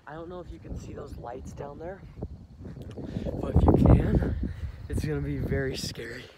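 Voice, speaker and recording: A young man vlogging casually on a phone held at arm's length, joking and chattering quickly. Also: fairly high pitch, very noisy. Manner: goofy, jokey, hurried chatter. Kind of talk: casual vlog. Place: house rooms and outdoors at night.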